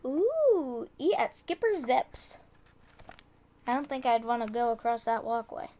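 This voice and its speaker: A high-pitched woman reads a children's tongue-twister storybook aloud. Her pace is slow and deliberate, and she steps carefully through the tricky words, correcting herself when she trips. Casual asides slip in between the rhymes. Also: sing-song, dark tone, slight background noise. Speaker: storybook reader.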